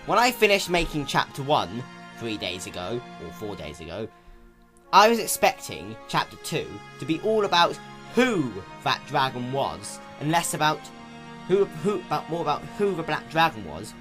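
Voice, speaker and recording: A man's voice: a little high, huge pitch swings, normal speed, a bit bright, soft background music. A man giving an unscripted, casual review in a rambling, mock-grandiose way with exaggerated emphasis. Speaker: fan fiction reader and reviewer.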